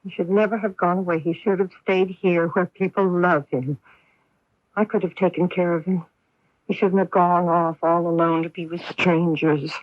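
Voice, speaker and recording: A woman's voice: on the low side, steady tone, normal speed, no room sound, close to an everyday voice. An actress playing a doting, anxious and defensive middle-aged mother in a radio drama, with a fretful, coddling way of talking. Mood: fretful, reproachful. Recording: dull lo-fi radio recording.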